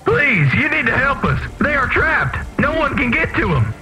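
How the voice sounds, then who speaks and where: An actor playing an earnest, masked refugee from underground vents in a video game, pleading in dramatic, formal wording. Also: male voice, very high voice, very animated, moderate pace, pushed and bright, soft background music, full character voice. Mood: urgent pleading.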